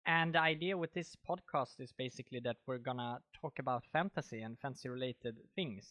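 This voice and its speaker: A man with a Swedish accent hosting a casual fantasy podcast, chatty and quick to laugh. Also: mid-range voice. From Sweden. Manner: relaxed, chatty, laughs easily. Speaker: podcast host. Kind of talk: casual chat.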